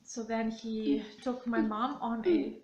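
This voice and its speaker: A woman's voice: fairly high pitch, steady tone, slow pace, highs cut off. Woman chatting on a family vlog, lots of ums, phone-filmed, echoey room. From Germany. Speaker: vlog co-host. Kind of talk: casual family vlog intro. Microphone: phone camera.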